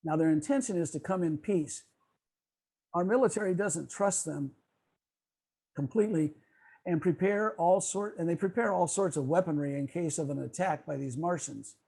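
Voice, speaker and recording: Husky, mellow man giving a talk. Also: a little high. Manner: measured, explanatory, stumbles while reading. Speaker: club presenter on old-time radio. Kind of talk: semi-scripted lecture presentation. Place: online video call.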